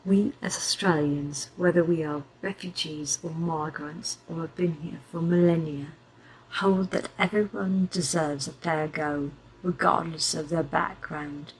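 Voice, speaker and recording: A woman takes on an elderly grandmother who once fought as a partisan. She speaks in a lowish, steady voice with rallying conviction, like a general writing to her troops. A faint background hiss runs under the audio drama recording. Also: a little slow, poor audio. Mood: resolute. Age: older. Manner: steady, rallying letter-reading. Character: elderly grandmother, war veteran, resolute.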